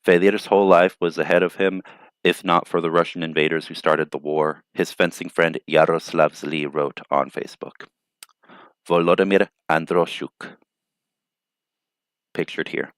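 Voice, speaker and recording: A man's voice: deep voice, steady tone, moderate pace, smooth, no room sound. A man hosting a news commentary show, reading reports and adding thoughtful, hesitant asides. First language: not Ukrainian.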